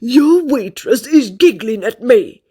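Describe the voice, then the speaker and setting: A woman's voice: fairly high pitch, animated, slow pace, mellow, slightly rough, heavily acted. A woman doing a grumbling cross customer. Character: grumpy cross older man customer. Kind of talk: storybook read-aloud.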